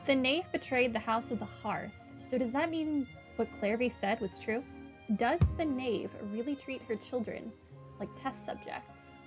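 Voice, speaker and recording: A woman's voice: a little high, measured pace, music in the background. A woman doing casual playthrough commentary, musing aloud and reading dialogue as she goes. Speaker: gamer commentator.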